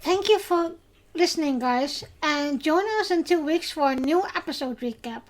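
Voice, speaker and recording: A Dutch woman hosting a podcast, with a notably high voice. She speaks English as a second language, hesitating often and filling pauses with "uh" and "um." The tone is relaxed and chatty. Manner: hesitant, many filler sounds. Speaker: podcast host. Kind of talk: casual podcast chat.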